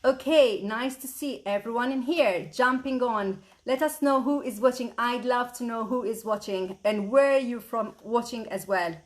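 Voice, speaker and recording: A woman's voice: a little high, normal speed, hardly any pauses. A middle-aged woman from Malta, an online business mentor, talking eagerly on a live stream and greeting viewers by name. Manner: upbeat, eager, repeats "super" a lot, greets viewers by name. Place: at home at a computer.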